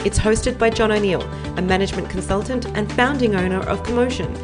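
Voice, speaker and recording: Announcer, woman, brisk polished read, loud music bed underneath. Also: mid-range voice. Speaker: show announcer. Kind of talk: scripted show introduction.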